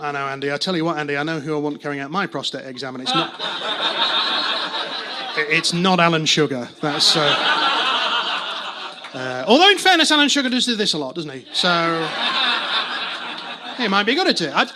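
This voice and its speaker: A man's voice: on the high side, expressive, a little fast, long pauses, heavy background noise. A man from Manchester with a faint northern accent doing a rambling, self-interrupting stand-up set in a comedy club. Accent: faint Mancunian. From Manchester, England. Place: comedy club with live audience.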